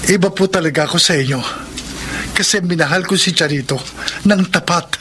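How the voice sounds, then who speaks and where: High-voiced, lovestruck young Filipino son. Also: male voice, brisk, sharp tone, a little hiss or hum. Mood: defiant, resolute. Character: earnest, lovestruck, defiant young adult son. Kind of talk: Filipino radio drama acting.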